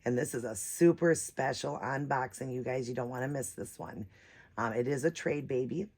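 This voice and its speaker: A woman with a naturally raspy voice, a bit hoarse from a cold, chatting casually as she hosts a doll unboxing vlog. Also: a bass voice, normal speed, a little mellow, a little hiss or hum. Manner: chatty, rambling, many fillers. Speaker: reborn doll channel host.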